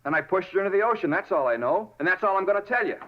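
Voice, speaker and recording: An American actor playing an agitated, defensive murder suspect in a 1950s radio drama, insistent and irritable. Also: male voice, high-pitched, lively intonation, racing. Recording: dull 1950s radio recording.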